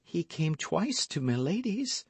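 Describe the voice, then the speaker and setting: A man's voice: on the high side, big pitch swings, takes it slowly, husky, no room sound, pitched above the performer's own voice, exaggerated voice. A man voicing a frightened, lovestruck young maidservant in an audiobook, with a pleading, devoted manner. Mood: anxious. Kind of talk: audiobook character acting.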